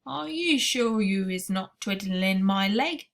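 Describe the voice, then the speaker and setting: A gentle old giant in a storybook, as a woman reads him aloud. He speaks slowly and loudly, stretching out each word of his muddled, mangled grammar. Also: expressive, creaky. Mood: delighted. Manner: slow, booming, mangled words.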